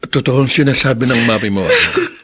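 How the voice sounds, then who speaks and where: A man's voice: mid-range voice, huge pitch swings, brisk, pushed and bright, breathy edge, full character voice. A man playing a repentant father in a drama, earnest and conciliatory, with a Filipino accent. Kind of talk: Filipino radio-style drama acting.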